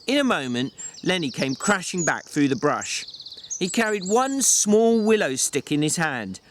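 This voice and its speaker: A man's voice: fairly high pitch, very animated, a little slow, a little hiss or hum. A man narrating a novel in a dramatic, literary reading style.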